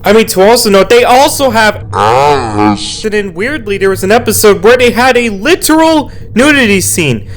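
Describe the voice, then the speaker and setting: Hoarse, excitable young male reviewer. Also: sits high, normal speed, hardly any pauses. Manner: excitable, rambling, jokey. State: hoarse, losing his voice. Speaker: show reviewer. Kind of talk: casual video review.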